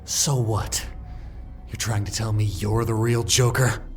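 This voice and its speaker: A man's voice: fairly low pitch, steady tone, measured pace. A man playing a cocky, hot-headed young vigilante in a comic dub, brash and sarcastic. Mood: defiant, angry. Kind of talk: comic dub dramatic voice acting. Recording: voice under loud background music.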